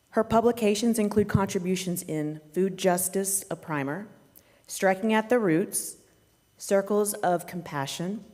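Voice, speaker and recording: A woman formally introducing a guest speaker, reading in a measured way from a prepared text. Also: lowish voice, darkish tone. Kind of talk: read-aloud formal biography introduction. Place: church sanctuary, indoors. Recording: echoey hall with long reverb.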